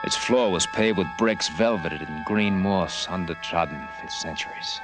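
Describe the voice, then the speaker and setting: Man acting a low-voiced, breezy radio adventure hero. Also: moderate pace, natural voice, rough recording. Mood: tense narration. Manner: slangy, breezy, tough-talking. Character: brash, wisecracking male adventurer, cajoling and determined. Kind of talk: 1940s-50s radio adventure acting, with first-person narration. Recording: vintage radio drama recording with music behind.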